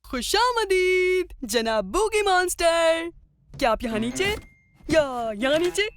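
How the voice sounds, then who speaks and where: A woman voicing a bubbly, childlike, playful gummy bear in an animated comedy dub. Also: very high voice, slow pace, no room sound, full character voice. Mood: playful, curious.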